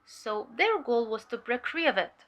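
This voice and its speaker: A woman playing a weary, sharp undercover cult infiltrator giving hesitant, measured testimony in an audio drama. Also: on the high side, animated. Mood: uneasy, explanatory.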